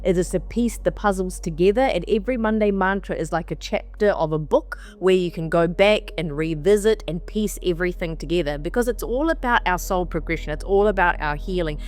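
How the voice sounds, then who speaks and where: A woman's voice: fairly low pitch, a little mellow. A woman hosting a weekly spiritual mantra talk in a casual, meandering way. She sounds slightly nasal and sniffly from allergies and repeats phrases for emphasis. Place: on the road while traveling.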